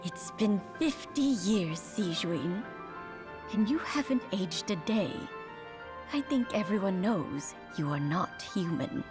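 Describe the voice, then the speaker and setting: A woman's voice: medium pitch, expressive, takes it slowly. A woman playing a kindly, grateful old lady in a video game, speaking tenderly and without hurry. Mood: knowing. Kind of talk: scripted dramatic game dialogue. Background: game music.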